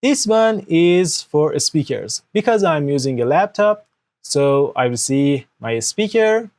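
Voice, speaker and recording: A man's voice: fairly high pitch, lively intonation, slow pace, darkish tone. A young man whose first language is Persian, explaining a computer walkthrough step by step at his desk into an external microphone, often checking with "okay?". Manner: explanatory, frequent "okay?" checks, non-native grammar. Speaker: tutorial host. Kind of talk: screen-recorded software tutorial. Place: indoor room at a desk.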